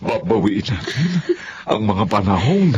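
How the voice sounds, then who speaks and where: Loving husband, Filipino radio drama, tender, swooping intonation, lo-fi sound. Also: male voice, on the low side, sing-song, a little slow. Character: Wilson, tender devoted seaman husband and father. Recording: muffled lo-fi audio.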